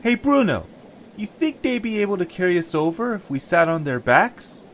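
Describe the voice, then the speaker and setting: A man's voice: high-pitched, big pitch swings, normal speed, a little hiss or hum, pitched above the performer's own voice. A man voicing an eager, curious young creature who leads an adventuring group in an amateur audio drama. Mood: pondering.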